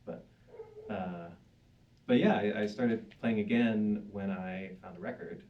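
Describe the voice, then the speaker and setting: A man's voice: fairly low pitch, fairly even intonation, measured pace. A man at a live panel telling a story in a chatty, upbeat way with frequent fillers. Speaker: panel guest. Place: synagogue hall, live audience. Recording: dull distant sound with faint room noise.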